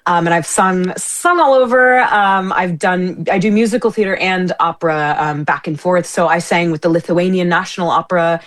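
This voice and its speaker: A woman's voice: on the low side, moderate pace, few pauses. A woman, a singer and stage performer, chatting as a guest on a radio interview in a bubbly, effusive way, full of fillers and restarts. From United States. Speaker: guest, opera singer and musical theatre performer. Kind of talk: casual interview chat.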